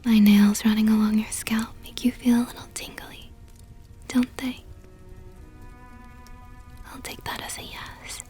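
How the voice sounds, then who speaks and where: A woman voicing a possessive, doting vampire countess in an intimate ASMR roleplay, whispering softly and slowly with a tender, teasing, coaxing manner. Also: a little high, little pitch movement, faint music behind, natural voice. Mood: seductive, coaxing. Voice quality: breathy, soft whisper. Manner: slow, coaxing, affectionate murmur.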